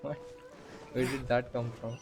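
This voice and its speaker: A man's voice: medium pitch, flat delivery, measured pace, music in the background. A man giving casual live gameplay commentary, informal and musing, a little hesitant. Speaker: game streamer.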